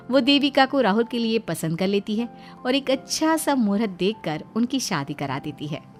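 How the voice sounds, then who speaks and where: A woman reading a scripted moral story as its narrator. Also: mid-range voice.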